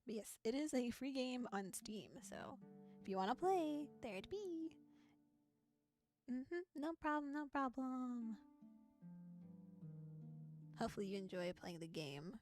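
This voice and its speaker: High, animated, playful woman streamer. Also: a little slow, long pauses. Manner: playful, giggly, cutesy phrasing. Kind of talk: casual livestream chat while playing a visual novel. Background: music.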